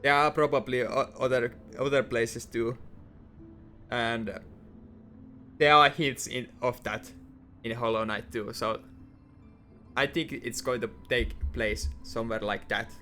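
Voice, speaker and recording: A man's voice: medium pitch, steady tone, unhurried, long pauses, pushed and bright, soft background music. Man musing hesitantly. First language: Finnish. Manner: hesitant, repeats and restarts words, thinks aloud. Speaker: gaming YouTuber. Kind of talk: lore-focused game commentary.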